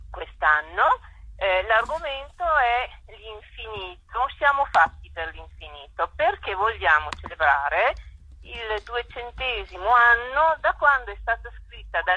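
Dull dry phone recording, woman, bright but husky voice, explanatory, hesitant pauses. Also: mid-range voice, no room sound. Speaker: poetry academy president, interview guest. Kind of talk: spoken interview explanation. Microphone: telephone. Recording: dull telephone line.

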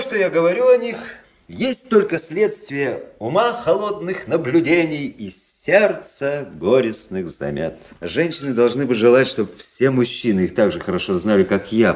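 An actor playing a cynical, cool young aristocratic officer in a Russian radio drama, with ironic, measured, literary delivery. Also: male voice, medium pitch, a little mellow.

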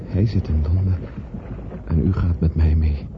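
An actor playing an earnest, uneasy gentleman in a radio play, with formal manners. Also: extremely low pitch, fairly even intonation, unhurried. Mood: troubled, confessional. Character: earnest, guilt-ridden gentleman in love, uneasy traveller. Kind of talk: Dutch radio play acting. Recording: dull, noisy old radio play recording.

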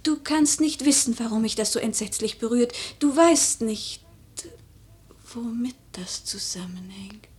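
A proud, troubled noble widow in a classical radio play, acted by a woman. Her voice is fairly high, with haughty, theatrical delivery that can drop toward a whisper. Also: a little slow, darkish tone, lightly acted. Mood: agitated, haunted. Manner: haughty, dramatic, whispery at times. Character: imperious, troubled noble widow haunted by a dream. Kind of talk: classical German radio drama acting.

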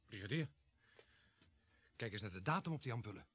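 A gruff police sergeant in a radio drama. He is suspicious and plain-spoken, with a husky edge. His grumbling lines rise and fall in a very lively way. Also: on the low side, fairly quick. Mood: suspicious, indignant. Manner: blunt, grumbling, swearing. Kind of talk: Dutch radio drama acting.

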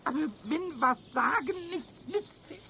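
A man voicing a cheeky, excitable little kobold in a children's radio play, with a squeaky voice and stammering, whining delivery. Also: unhurried, gravelly, heavily acted. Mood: agitated, urging. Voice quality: shrill, squeaky. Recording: noisy 1970s radio play recording.

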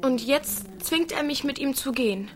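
A woman's voice: high voice, moderate pace, a little hiss or hum, natural voice. A young woman playing a manipulative village teenage girl in a naturalistic radio drama, needy, coaxing and bent on revenge. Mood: vengeful. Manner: insistent, coaxing.

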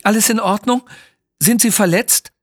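Man putting on a high-pitched plucky lady explorer. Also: normal speed. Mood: concerned.

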